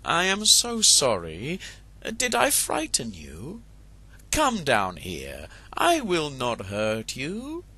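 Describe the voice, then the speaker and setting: A man voicing a sly male fox who coaxes and flatters in a slow, smooth way. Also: mid-range voice, pitched above the performer's own voice, exaggerated voice. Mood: coaxing. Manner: coaxing, flattering.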